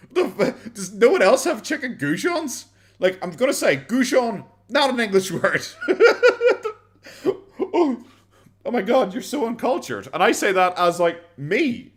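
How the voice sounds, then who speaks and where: An Irish man streaming a casual reaction chat, sarcastic, swearing often and laughing a lot. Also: sits high.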